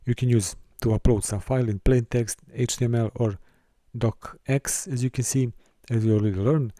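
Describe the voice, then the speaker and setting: A man from Serbia, teaching a course and walking through a software console, with careful, halting phrasing. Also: mid-range voice, fairly even intonation, no echo, studio quality. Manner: careful, halting delivery with small restarts and non-native phrasing. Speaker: course instructor. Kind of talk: screen-recorded software tutorial.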